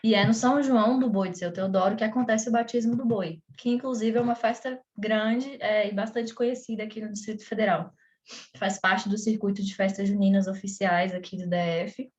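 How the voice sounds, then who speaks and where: A woman's voice: medium pitch, fairly even intonation, brisk, a little mellow, muffled recording. A Brazilian woman with a Brazilian Portuguese accent, giving a conversational online lesson as a teacher, with frequent fillers and restarts.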